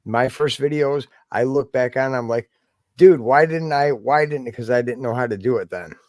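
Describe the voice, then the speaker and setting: A man trading quick wisecracks and encouragement in a casual chat. His voice is at an ordinary pitch and sounds dry and close, with no room echo.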